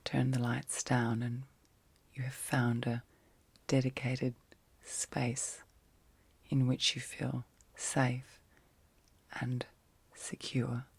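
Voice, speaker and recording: A woman speaks very softly, often slipping into a breathy whisper, as she guides a sleep meditation. Her delivery is slow and fairly even, with gentle, soothing phrases set apart by long pauses. There is a creaky fry in the voice, and the sound is close and dry, in an ASMR style. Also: extremely low pitch, no room sound.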